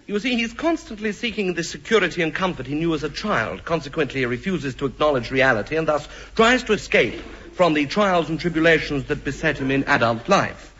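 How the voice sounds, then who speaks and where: An actor playing an earnest, pompous doctor with formal, deliberate phrasing in a 1950s radio comedy. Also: male voice, on the high side, expressive, fairly bright tone. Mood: grave, professorial. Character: earnest, pompous male doctor with a childish streak. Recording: noisy, dull old radio recording with clicks.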